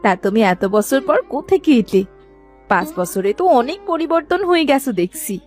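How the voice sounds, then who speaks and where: A woman's voice: high voice, big pitch swings, a little fast, darkish tone. Woman acting guarded village sister-in-law. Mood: teasing, reproachful. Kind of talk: dramatized Bengali cartoon story dialogue. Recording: music behind the voice.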